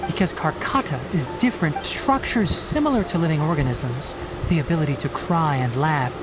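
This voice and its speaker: An actor voicing a calm, thoughtful young forest ranger in game dialogue. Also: male voice, a little high, normal speed, lightly acted, low-quality recording. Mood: reflective. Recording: loud game music underneath.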